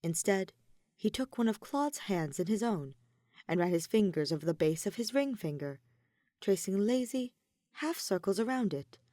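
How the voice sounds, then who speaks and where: A woman's voice: mid-range voice, expressive, normal speed, dark tone, no echo, clean studio sound. A woman reading scripted romantic fiction as a narrator. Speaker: podfic narrator.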